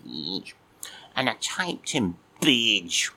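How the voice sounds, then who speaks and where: A man's voice: fairly low pitch, very animated, extremely slow, pushed and bright, exaggerated voice. A man voicing a cheeky, crude, dim-witted male cat who rambles with mock innocence.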